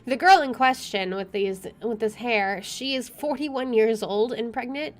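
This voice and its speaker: A woman's voice: a little high, a little slow. A woman game streamer reading visual novel narration aloud and adding casual commentary. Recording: game music behind.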